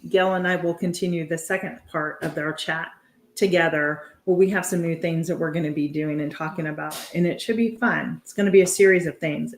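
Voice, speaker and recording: An American woman appearing as a guest on a casual live chat, friendly and chatty. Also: on the low side. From United States. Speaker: guest homesteader.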